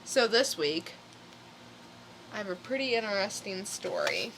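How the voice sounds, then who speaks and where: A woman's voice: mid-range voice, wildly swooping pitch, measured pace, noisy background. A woman co-hosting a podcast, chatting casually as she shares stories. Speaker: podcast co-host. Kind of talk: casual chat, telling news stories.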